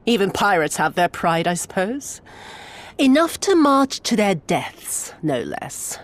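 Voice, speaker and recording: A woman's voice: mid-range voice, big pitch swings, slow. A woman playing a cruel, contemptuous military commander in a video game, with a haughty, sneering, theatrical manner. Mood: disdainful, sinister. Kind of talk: video game villain acting.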